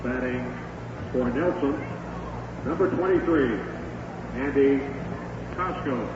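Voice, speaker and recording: A man making stadium public address announcements, spoken slowly and deliberately. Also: mid-range voice. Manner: slow, measured announcements. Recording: distant echoey stadium PA through a noisy, dull radio feed.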